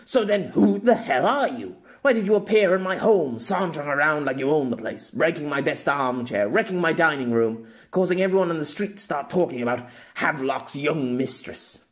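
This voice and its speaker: A man's voice: fairly high pitch, animated, normal speed, hardly any pauses, voice pushed up, close to an everyday voice. Man acting out an angry, bitter accuser. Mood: accusing. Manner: accusing, demanding, forceful.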